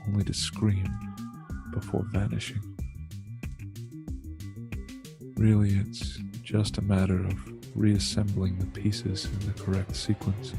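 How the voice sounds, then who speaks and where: A man acts out a godlike superhuman man, detached and melancholy. His voice is low and gravelly, with a very flat, measured delivery. Music plays clearly behind him in this online superhero drama. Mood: detached, narrating. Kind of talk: dramatic monologue in a fan-made online play.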